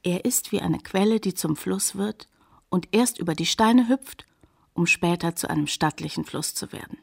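An actress playing a scientist's devoted daughter, narrating a radio drama in a measured, deliberate, poetic way. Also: female voice, on the low side, gravelly, slightly rough. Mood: intimate, resolute.

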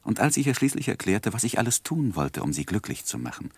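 An earnest young man in a vintage radio drama, his voice low and very expressive. He speaks tenderly, in careful literary phrasing. The recording is close and dry. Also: a little fast, husky, no room sound, full character voice. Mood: reflective narrating. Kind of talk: 1960s German radio drama narration and acting.

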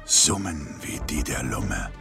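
An actor playing an awestruck Inuit hunter, telling of a strange sight in a portentous radio drama manner. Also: male voice, rumbling low, very animated, slow pace, gravelly, music in the background, full character voice.

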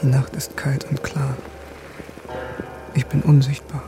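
Haunted lone soldier, flat gravelly creaky delivery, terse, music under him, radio play. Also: male voice, medium pitch. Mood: cold, detached. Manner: terse, detached, deliberate. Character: cold, haunted male soldier turned lone avenger. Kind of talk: first-person radio drama narration.

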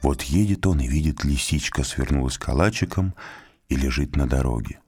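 A man reading a folk tale aloud for small children in an expressive, animated way. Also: a bass voice, normal speed, loud music behind the voice. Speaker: storyteller.

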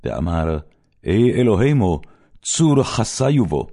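A low-voiced man reads scripture in a solemn, declamatory way, pausing dramatically between short verses. His tone is dark and mellow with a husky edge, and his pitch rises and falls widely as he lifts and drops each phrase. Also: big pitch swings. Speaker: Bible reader.

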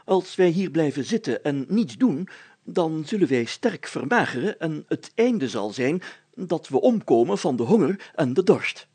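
A man's voice: a little high, big pitch swings, unhurried, darkish tone, slightly rough, no echo, pitched above the performer's own voice, exaggerated voice. A man voicing a pompous, optimistic village mayor in a storybook, speaking emphatically and with self-importance. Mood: grave, emphatic.

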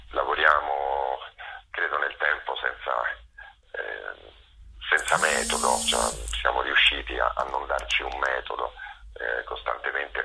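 Very deep, gravelly man, speaking haltingly. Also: bright, forceful tone, poor audio. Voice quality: gravelly, husky. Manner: halting, searching for words, with many pauses. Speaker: interviewed theatre director and performer. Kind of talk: reflective interview answers.

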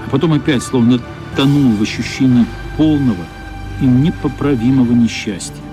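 A man narrating a literary radio play in a measured, somber, dramatic style. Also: medium pitch. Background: faint music.

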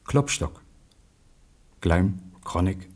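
An actor playing an earnest acquaintance giving sober, measured testimony in a radio drama, in a husky voice. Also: male voice, low-pitched, animated, a little mellow, exaggerated voice. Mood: concerned, sober. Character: earnest male acquaintance recalling a mad poet.

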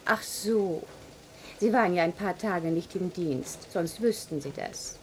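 An actress playing a cheeky, flippant, fashionable secretary in a German science-fiction radio play. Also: female voice, on the low side, a little slow, a little mellow, natural voice. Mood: cheeky, breezy. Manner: flippant, matter-of-fact. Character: breezy, cheeky, modish office secretary. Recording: vintage radio recording, faint noise.

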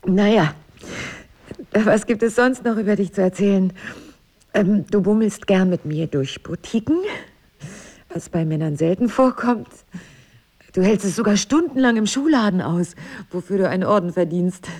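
An actress playing a wry, tender, quietly wistful wife in an intimate radio drama, with a teasing, measured delivery. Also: female voice, medium pitch, natural voice. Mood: teasing, amused.

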